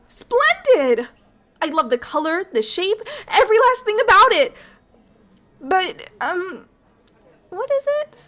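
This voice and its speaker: A high, unhurried voice from a woman acting as a bubbly alien ambassador in an intimate ASMR roleplay. The alien is naive and affectionate, speaking earnestly and expressively, with slightly formal wording. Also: sharp tone, heavily acted. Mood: excited delight, then puzzled, flustered.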